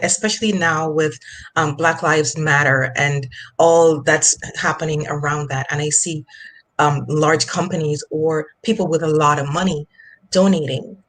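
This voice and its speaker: A woman's voice: sits low, fairly even intonation, a little slow, a bit bright, rough recording. Earnest Jamaican woman, finance coach. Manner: earnest, repeats words while thinking. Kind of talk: casual interview chat.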